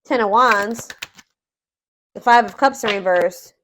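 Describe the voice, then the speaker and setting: A woman's voice: mid-range voice, measured pace, a bit bright, slight background noise. A woman giving a casual tarot love reading, thinking aloud and often repeating and rephrasing herself as she works out each point. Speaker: tarot reader, host.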